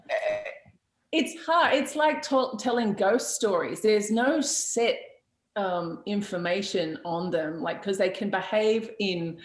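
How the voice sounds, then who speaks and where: A woman hosting a witchcraft talk show, answering viewer questions in a blunt, chatty way with frequent laughs, fillers and restarts. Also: medium pitch. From Australia. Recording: roomy echo.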